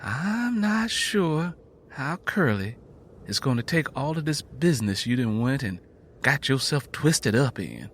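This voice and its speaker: Casting: male narrator playing firm, world-weary mama from the American South, raised voice pitch, admonishing, audiobook drama. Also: big pitch swings, measured pace, fairly bright tone, a little hiss or hum. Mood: wary, pressing. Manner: firm, admonishing rustic dialect. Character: stern, protective Southern mother, weary but firm.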